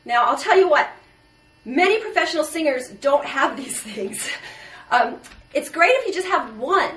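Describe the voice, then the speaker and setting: A woman voice teacher explaining points one by one in a measured instructional video. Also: high-pitched, sing-song, a bit bright. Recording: echoey room, distant mic.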